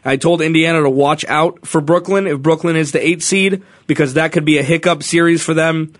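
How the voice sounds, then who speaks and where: Man, sports radio host, casual opinionated talk, fairly high voice, dry close sound. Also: fairly even intonation, a little fast, no room sound. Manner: chatty, self-correcting, opinionated.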